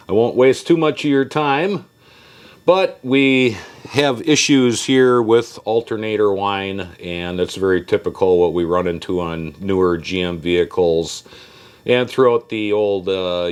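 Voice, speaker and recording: A man's voice: low-pitched, clean tone. A man giving an informal how-to walkthrough as a video host, with a folksy, plainspoken manner. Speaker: host of a car audio tutorial channel.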